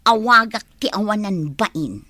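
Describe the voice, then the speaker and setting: A woman's voice: mid-range voice, lively intonation, a little slow, pushed and bright, no room sound. A woman with an Ilocano accent playing a scheming young employee in a radio comedy drama. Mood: scheming, fretful. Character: scheming young employee daughter on a provincial holiday.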